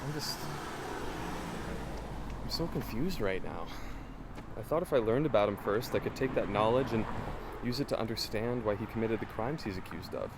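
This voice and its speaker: An actor playing a curious, determined American investigator in an audio drama, questioning things in a thoughtful, earnest way. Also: male voice, on the low side, animated, normal speed, long pauses, background noise. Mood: puzzled, probing. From Los Angeles, United States. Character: curious, determined young male amateur investigator. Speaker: investigative podcast host. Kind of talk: naturalistic audio drama dialogue.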